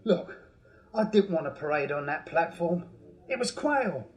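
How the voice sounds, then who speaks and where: An actor playing a shifty young Victorian spirit medium who speaks in a hesitant, halting way. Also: male voice, on the high side, animated, close to an everyday voice. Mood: defensive. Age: young adult. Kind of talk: 1980s radio drama acting. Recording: echoey stage sound from a distance.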